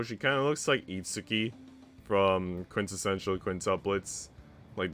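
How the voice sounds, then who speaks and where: A man's voice: lowish voice, fairly bright tone. A man streaming a visual novel, reading it aloud and breaking off for informal, slangy comments. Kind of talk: visual novel read-aloud with casual asides.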